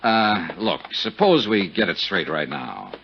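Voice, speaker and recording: Low, wry hard-boiled American radio detective. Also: male voice, big pitch swings, measured pace, vocal fry, heavily acted. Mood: needling, accusing. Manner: sardonic, slangy, wisecracking. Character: wry, hard-boiled American private investigator, cynical and needling. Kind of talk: 1950s radio detective drama acting and narration. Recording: muffled, hissy 1950s radio recording.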